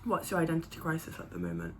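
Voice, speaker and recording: A woman's voice: low-pitched, very animated, rapid-fire, slight background noise. A young woman whose first language is French, chatting casually on her vlog with lots of fillers and restarts. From born in Zimbabwe, raised partly in Paris. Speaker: vlogger. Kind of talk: casual chat.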